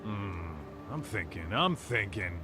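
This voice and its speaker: An actor voicing a blunt, gruff middle-aged male detective in a video game. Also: on the low side, big pitch swings, slow pace. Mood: wry. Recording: game audio with music behind.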